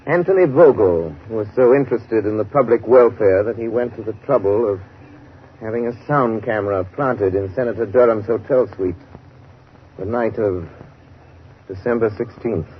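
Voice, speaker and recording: An actor playing a calm, shrewd gentleman crime-fighter in a 1930s radio drama, speaking in a measured, deliberate and articulate way. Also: fairly low pitch, close to an everyday voice. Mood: grimly resolute. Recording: noisy, muffled 1930s radio recording.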